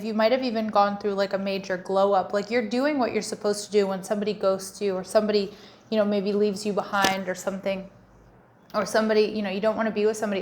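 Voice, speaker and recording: A woman with a croaky voice from a cold, giving a casual, chatty tarot reading and often addressing her listeners directly. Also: medium pitch. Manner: frequent restarts and fillers, warm addressing. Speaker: tarot reader.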